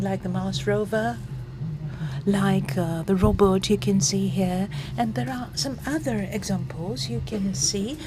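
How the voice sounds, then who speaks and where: A woman at mid pitch interpreting a conference talk as it happens, stopping often with fillers to catch up. Her voice comes over an interpretation feed with clear background noise. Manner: halting, frequent pauses and fillers while interpreting.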